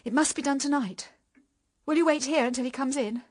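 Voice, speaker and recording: An actress with a British accent playing a desperate wife, urgent and pleading, in a radio drama. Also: female voice, high voice, a little fast, lightly acted. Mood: urgent, conspiratorial. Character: desperate, pleading unfaithful wife, manipulative older sister.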